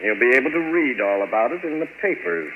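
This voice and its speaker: A man's voice: mid-range voice, lively intonation, fast talker, natural voice. Hard-boiled investigator on noisy radio played by a man. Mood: stern sarcasm. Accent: American. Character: stern, sarcastic D.A.'s office investigator. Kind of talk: 1940s radio crime drama acting. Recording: muffled, noisy old radio broadcast.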